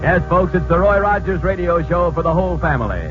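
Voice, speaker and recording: A man's voice: high-pitched, a little fast. An American man making showy, emphatic announcements for an old-time radio show. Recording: muffled old radio recording. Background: loud music.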